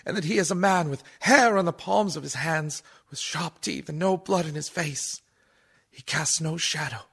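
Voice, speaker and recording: A man's voice: on the high side, fairly bright tone, slightly rough, full character voice. An actor playing a terrified young Englishman, a captive close to madness, giving fraught dramatic speech in a radio horror play. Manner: fraught, dramatic diary narration.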